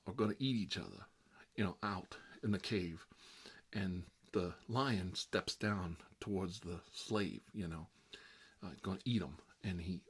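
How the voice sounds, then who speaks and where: A man performing a chatty ASMR role-play as a fussy, petty grown man stuck babysitting, rambling on in a gossipy, petulant manner. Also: low voice. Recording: clearly noisy recording with clicks.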